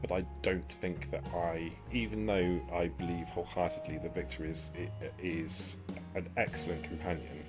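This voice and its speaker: A man playing a shrewd, self-serving vampire negotiator in tabletop roleplay, speaking formally and deliberately with halting pauses. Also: low voice, little pitch movement, music in the background, natural voice. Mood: calculating, candid. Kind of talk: in-character tabletop roleplay dialogue.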